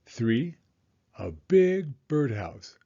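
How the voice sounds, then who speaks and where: A man reading a storybook aloud to young children in a deliberate, expressive storytelling way. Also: mid-range voice, muffled recording.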